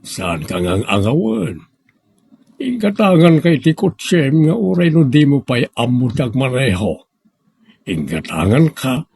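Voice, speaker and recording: Actor as devoted suitor, audio melodrama; creaky mid-range voice, expressive swings, persuasive and tender-hearted. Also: male voice, medium pitch, a little hiss or hum. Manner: earnest, wooing. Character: devoted, generous, earnest male suitor. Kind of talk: sentimental audio drama acting.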